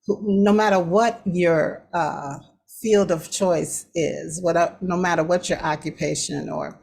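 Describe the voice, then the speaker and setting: A woman hosting a talk show with casual commentary, often halting as she thinks aloud. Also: lowish voice, fairly even intonation, a little mellow. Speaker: show host. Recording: clear background noise.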